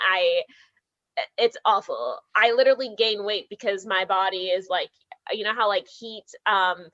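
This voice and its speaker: Animated Canadian woman on a dull call line. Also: a little high. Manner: animated, laughs often, says "like" a lot. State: hot and sweating. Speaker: filmmaker guest. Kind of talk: casual remote interview chat.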